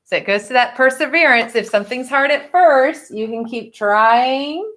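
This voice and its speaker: Woman, slightly high voice, children's read-aloud, expressive delivery, dramatic pauses, teacherly asides.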